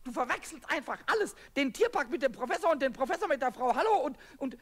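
A tiny mischievous kobold, with a very high, shrill voice that leaps all over. He stammers and exclaims like an excitable child in a children's radio play. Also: fast. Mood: frustrated, puzzled. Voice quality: squeaky, shrill.

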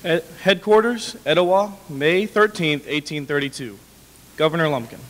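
Young man, slightly high pitch, formal letter reading, somewhat halting, reverberant hall. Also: lively intonation, fairly bright tone. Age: young adult. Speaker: dramatic reader. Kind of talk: formal read-aloud of historical letter. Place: indoor hall with brick walls, small audience. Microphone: podium microphone.

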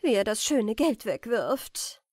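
A woman's voice: fairly high pitch, sing-song, slow, a little mellow, no room sound, clean studio sound, heavily acted. A woman voicing a disapproving, penny-pinching mother of a grown daughter in a fairy tale. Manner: disapproving, screechy when upset.

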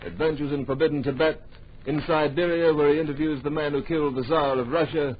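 An actor as the earnest, dramatic narrator of a radio drama, delivering scripted adventure storytelling in a grand, deliberate manner. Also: male voice, fairly high pitch, fairly even intonation, slight background noise, close to an everyday voice. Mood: measured, suspenseful. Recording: dull old radio recording.

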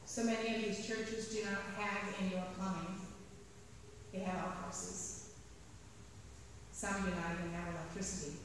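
Middle-aged woman priest giving a sermon, steady mid voice, really roomy echo, faint hum behind. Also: medium pitch. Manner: measured, slows to stress key phrases. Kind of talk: read sermon. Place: large church sanctuary. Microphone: pulpit mic at a distance. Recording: echoey church hall from far off.

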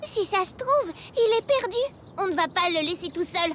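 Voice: extremely high pitch, lively intonation, moderate pace, noisy background. An actor voicing a kind, cheerful five-year-old rabbit in a children's cartoon, with an earnest, exclamatory childlike manner. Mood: concerned, caring. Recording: loud music under the dialogue.

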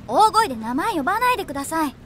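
A woman's voice: extremely high pitch, big pitch swings, fast talker, fairly bright tone, soft background music, heavily acted. A woman acting as a coy, scheming young actress with a cutesy, coquettish manner in a voice drama.

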